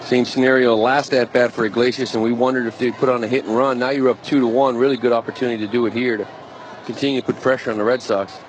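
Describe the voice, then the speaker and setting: A man's voice: medium pitch, flat delivery, fast talker. A man giving live color commentary and analysis on a baseball radio broadcast. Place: stadium broadcast booth. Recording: faint stadium noise behind voice. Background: faint ballpark ambience.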